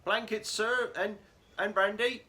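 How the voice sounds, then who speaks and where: A man's voice: sits high, steady tone, measured pace. A man playing an obedient, deferential sailor with clipped replies in a radio drama. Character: dutiful, deferential sailor reporting to his captain. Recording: noisy recording with clicks.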